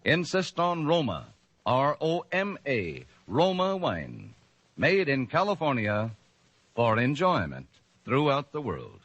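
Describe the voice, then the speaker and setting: A man reads a 1940s radio commercial in a smooth, persuasive salesman manner. His pitch swoops animatedly, his voice is a little husky, and the old broadcast sounds dry and close. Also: wildly swooping pitch, takes it slowly, a bit bright, no echo, dull audio. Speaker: radio announcer.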